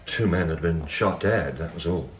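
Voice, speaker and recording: An actor playing a stubborn, guarded senior police detective, terse and evasive in a crime radio drama. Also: male voice, sits low, normal speed, a little hiss or hum, natural voice. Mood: stonewalling. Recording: echoey room acoustic.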